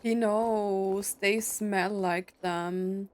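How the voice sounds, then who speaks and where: A woman's voice: mid-range voice, fairly even intonation, very slow. Woman playing and reacting to a game. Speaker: gameplay streamer. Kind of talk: casual game reactions and reading text aloud.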